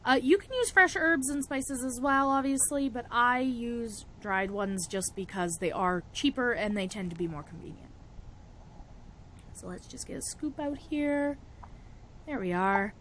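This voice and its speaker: A young woman with a cold-roughened, raspy voice, chatting casually through a spell-making tutorial and laughing often. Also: a little high, animated, a little slow, a bit bright, slight background noise. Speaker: witchcraft vlogger.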